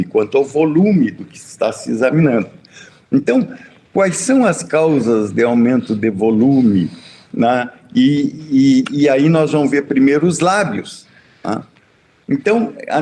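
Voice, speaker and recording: A man with a Brazilian accent lecturing as a professor, in a didactic, halting way with repeated words and frequent tag questions. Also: mid-range voice, darkish tone. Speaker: university veterinary professor. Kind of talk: lecture with slides.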